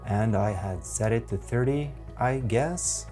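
A man in his forties with a Norwegian accent, giving a software tutorial in a methodical, halting way. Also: medium pitch, soft background music. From Norway. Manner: slow, methodical, halting explanations. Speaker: photography instructor.

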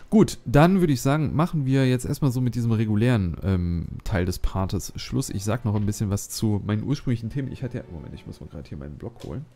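A man's voice: lowish voice, mellow. A man hosting a let's play, chatting casually and thinking aloud with many fillers. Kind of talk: casual gameplay commentary.

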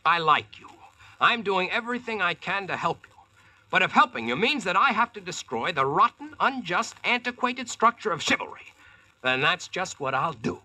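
A man's voice: high-pitched, sing-song, moderate pace, sharp tone, full character voice, low-quality recording. An actor playing a brash, slangy modern American man in 1940s-style radio drama and narration. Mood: cocky. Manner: slangy, cocky, punchy. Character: brash, wisecracking modern American man, reformer called Sir Boss. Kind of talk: 1940s radio acting and first-person narration. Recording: vintage radio broadcast with music behind.

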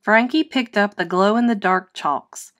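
A woman narrates a children's picture book aloud. Her pace is very slow and deliberate. She tells it expressively, phrase by phrase. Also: medium pitch, a bit bright. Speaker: storybook reader.

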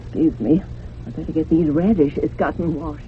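An actress playing a deferential, evasive village housekeeper with plain rustic phrasing in a radio drama. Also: female voice, low voice, fairly even intonation, normal speed, slightly rough. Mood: guarded, evasive. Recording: noisy, phone-like old radio sound.

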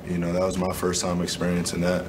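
Low-voiced man, flat and measured. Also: noisy background. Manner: low-key, measured, frequent "you know" and "um". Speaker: basketball player at postgame press conference. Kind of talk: postgame press conference answers. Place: press room.

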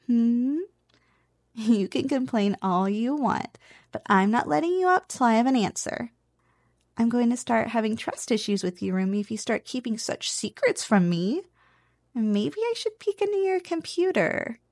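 Woman acting a teasing, high-voiced slime girl. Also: sing-song, normal speed, a little mellow, dry recording, clean studio sound. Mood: playful teasing. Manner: playful, coaxing, intimate address to the listener. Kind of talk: ASMR roleplay.

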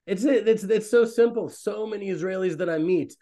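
A man in his thirties, a rabbi hosting an online fellowship, talking in a loose, rambling, animated way on a webcam from a small room. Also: sits high, normal speed. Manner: rambling, animated, self-interrupting. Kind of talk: casual online talk to a community. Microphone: webcam on a video call.

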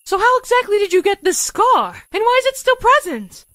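A woman playing a manic, obsessively curious military scientist in an audio drama, with an excitable, theatrical delivery. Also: very high-pitched, a little fast, dry recording, studio quality, full character voice. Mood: probing. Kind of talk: amateur audio drama acting. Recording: dramatic music under the voice.

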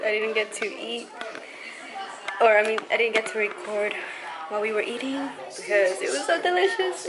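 A young woman chatting casually to camera in a home vlog, rambling with fillers. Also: fairly high pitch. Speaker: family vlogger. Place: home kitchen and outdoor patio. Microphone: handheld camera at arm's length. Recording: noisy home video audio.

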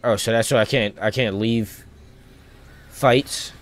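A man streaming a video game with casual live commentary, rambling and thinking out loud in loose slang. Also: lowish voice, steady tone, slow.

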